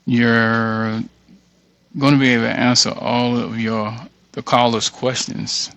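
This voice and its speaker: Man, creaky voice, flat pitch, halting casual tutorial presenter, thinking aloud. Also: no echo. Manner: halting, muttering, reads screen text aloud. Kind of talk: casual screen-share walkthrough, thinking aloud. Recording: dull, muffled lo-fi audio.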